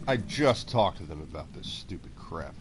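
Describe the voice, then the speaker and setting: Chatty low-voiced man streaming a game. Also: animated, moderate pace, soft background music. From Texas and South Florida. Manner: chatty, talks to chat and coos at pets. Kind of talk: casual game commentary. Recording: faint background noise.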